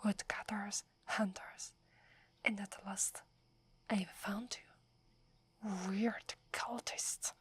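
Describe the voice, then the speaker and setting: A woman's voice: mid-range voice, level tone, drawn-out words, pauses often, fairly bright tone, slightly rough, heavily acted. A woman with an Italian accent voicing a proud, wary female werewolf who guards a holy forest, speaking in an accusing whisper for an ASMR roleplay. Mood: stern. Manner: scornful, accusing.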